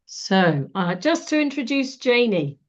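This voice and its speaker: Croaky-voiced woman hosting a webinar. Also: a little high, big pitch swings, a little slow. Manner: warm, chatty, many fillers. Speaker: webinar host. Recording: dull lo-fi webinar audio.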